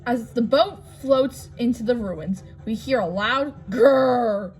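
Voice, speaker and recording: A woman's voice: fairly high pitch, takes it slowly, darkish tone, faint music behind. A woman co-hosting a storybook read-aloud for listeners. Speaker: channel co-host and story reader.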